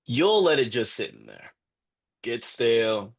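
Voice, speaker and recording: An actor playing an exasperated, lovestruck young man in a live dark comedy script read, halting and defensive. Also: mid-range voice, very animated. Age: young adult.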